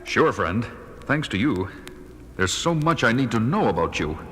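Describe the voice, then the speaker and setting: An actor playing a stern, heroic masked crime fighter, grave and declamatory in a 1970s superhero record drama. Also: male voice, mid-range voice, sing-song, normal speed, breathy edge. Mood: resolute. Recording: loud music bed under voice.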